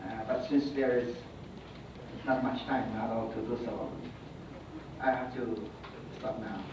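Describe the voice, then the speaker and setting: A man giving a conference talk as a Buddhist priest, halting and full of fillers. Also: mid-range voice, fairly even intonation. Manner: halting, many fillers, non-native phrasing. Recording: echoey hall from far off, noisy.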